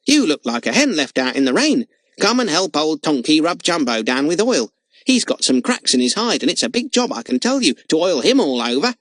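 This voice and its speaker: A man's voice: fairly high pitch, sing-song, fairly quick, a bit bright, no room sound, voice pushed up. A jolly, teasing male circus clown from a storybook, close to a man's own voice but bouncing up and down, as a man reading aloud plays him. The recording is close and dry.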